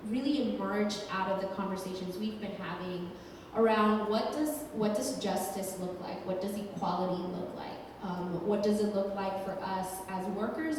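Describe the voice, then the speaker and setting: A woman's voice: mid-range voice, slight background noise. A woman from Colombia, an executive director, talking thoughtfully to a live audience with many ums and restarts. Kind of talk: panel talk to a live audience. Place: large hall with audience. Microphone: handheld microphone. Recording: echoey hall from far off.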